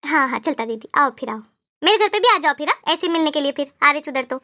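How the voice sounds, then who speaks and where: A woman's voice: high voice, animated, fast talker, pushed and bright. A woman voicing a friendly but needy, easily slighted neighbour in a cartoon comedy, chatty and wheedling in colloquial speech. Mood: inviting. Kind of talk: animated cartoon comedy dialogue.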